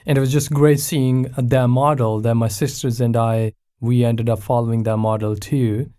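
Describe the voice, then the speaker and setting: A man in his thirties from Pakistan, speaking as a guest pastor in a podcast interview. His voice is mellow and warm at a middling pitch, and he tells his story loosely, with frequent fillers and restarts. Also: level tone, normal speed. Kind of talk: casual conversational interview.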